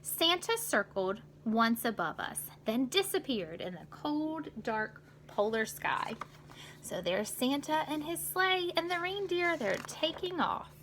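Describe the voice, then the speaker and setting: Bright high voiced lady reading a picture book to kids, really expressive, teacher style. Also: slight background noise, poor audio. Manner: expressive, pausing to comment on pictures for young listeners. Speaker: teacher reading aloud to children. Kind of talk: animated children's storybook read-aloud with asides.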